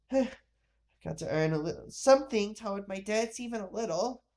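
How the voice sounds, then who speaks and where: A woman reads the part of a middle-aged war veteran in a bedtime audiobook. He is bitter and worn down, speaking slowly and plainly, as a man with debts and old losses. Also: medium pitch, animated, dark tone. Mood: weary, discouraged. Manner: weary, downhearted, plain-spoken. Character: embittered one-legged war veteran glass seller, middle-aged man.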